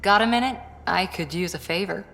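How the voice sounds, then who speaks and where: A determined female spaceship officer in a video game, speaks a little low. She is earnest and direct, with a long reverberant tail on the voice. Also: a little fast, creaky, a bit bright.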